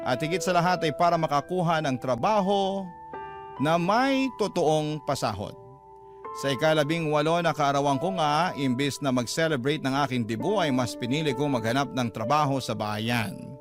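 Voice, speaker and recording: A man with a Filipino accent reading a first-person story as a radio drama narrator, measured and lingering on phrase endings. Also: a little high, animated, over music. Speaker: narrator of a letter sender's story.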